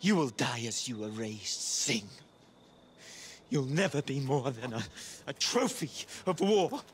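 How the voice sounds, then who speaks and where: An actor playing a contemptuous, menacing British imperial villain in a game cutscene, sneering and theatrical. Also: male voice, mid-range voice, animated, measured pace, a little hiss or hum, natural voice. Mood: bitter, defiant. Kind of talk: video game cutscene acting.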